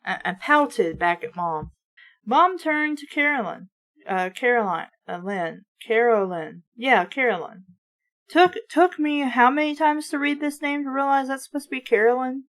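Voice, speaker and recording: High-voiced woman reading with wry asides. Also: lively intonation, moderate pace, fairly bright tone. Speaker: reader and commentator. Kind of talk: reading a children's horror book aloud with casual asides.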